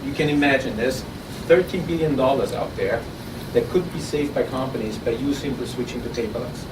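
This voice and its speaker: Austrian-accented man pitching, distant in an echoey room. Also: fairly quick. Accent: Austrian German. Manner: conversational with frequent restarts and repeated words. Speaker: startup founder pitching. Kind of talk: live pitch presentation. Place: conference room at an innovation event. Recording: roomy, distant, noisy audience recording.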